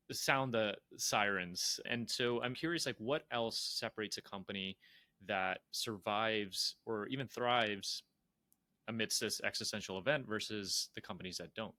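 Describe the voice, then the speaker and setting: Bright-voiced male interviewer. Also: lowish voice, no room sound. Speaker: interview host. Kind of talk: conversational interviewing.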